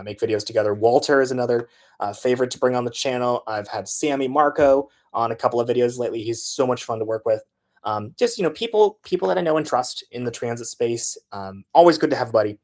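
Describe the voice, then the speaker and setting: A man talks through his filmmaking process in a relaxed, conversational way. He often pauses on filler sounds while he works out his next point. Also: mid-range voice. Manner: loose and chatty, frequent filler sounds. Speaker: transit video creator. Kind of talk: casual how-to talk.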